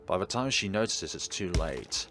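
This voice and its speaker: A man's voice: low voice, big pitch swings, normal speed, noisy background. A man reading a visual novel aloud as a let's player, dropping in wry, casual commentary. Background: game music under the voice.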